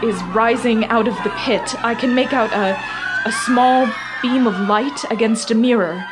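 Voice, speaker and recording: Student actress as high-voiced, horrified female radio reporter. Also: over loud music, over a noisy crowd, close to an everyday voice. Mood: tense, hushed. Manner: dramatic, halting, with pauses and repeated words. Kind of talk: live eyewitness commentary in a radio play.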